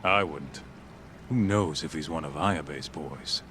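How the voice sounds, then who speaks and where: An actor playing a gruff, irritable, foul-mouthed male sidekick in a video game, curt and sarcastic. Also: very deep voice, slow pace, noisy background. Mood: gruff, impatient. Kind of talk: video game cutscene acting.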